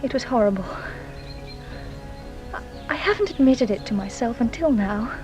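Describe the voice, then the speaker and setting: An actress as an anxious genteel Englishwoman, highish voice. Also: animated, moderate pace, over music, natural voice. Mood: mounting dread. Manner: hesitant, dramatic, confessional. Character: anxious, guilt-ridden genteel young Englishwoman giving testimony. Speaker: actress playing a witness. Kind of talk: radio drama acting.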